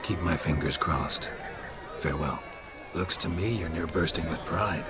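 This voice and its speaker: An actor playing a gruff, terse monster hunter in a fantasy game, deadpan. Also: male voice, extremely low pitch, measured pace, over music. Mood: dry. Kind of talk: game cutscene acting.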